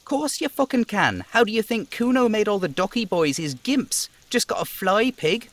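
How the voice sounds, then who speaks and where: A man's voice: high voice, big pitch swings, normal speed, dry recording, voice pushed up. A man voicing a cocky, hostile, swearing street kid in a video game, a brash braggart who talks of himself in third person. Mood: cocky, taunting. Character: foul-mouthed cocky street kid boy, swaggering and hostile.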